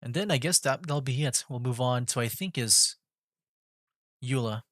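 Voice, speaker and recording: A man's voice: medium pitch, normal speed, a bit bright, no echo, clean studio sound. A man livestreaming a video game, commenting casually and reading dialogue choices aloud. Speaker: gaming livestreamer.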